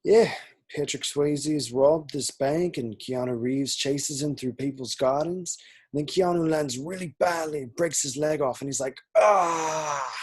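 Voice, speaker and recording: A man's voice: on the high side, measured pace, husky. A man reading the part of an eager, naive young police constable who loves action films. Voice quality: eager, chatty.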